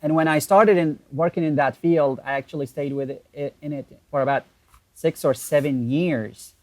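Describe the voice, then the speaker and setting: A man in his thirties from Iraq, a native Arabic speaker with an Iraqi accent, telling his story as a guest over a video call, relaxed and halting with fillers. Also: a little high. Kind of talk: casual interview storytelling.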